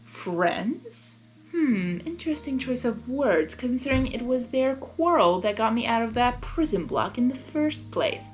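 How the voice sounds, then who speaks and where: A woman voicing a mocking, pompous male chaos creature, taunting in a drawn-out theatrical way. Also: a little high, expressive, faint music behind, poor audio.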